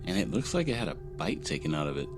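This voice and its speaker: A man plays a jaded little cartoon sidekick from a fairy world. His voice is low yet swings freely, full of dry sarcasm, with prominent music underneath. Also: very animated, fast, full character voice. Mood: wary. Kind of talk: comic fan-dub voice acting.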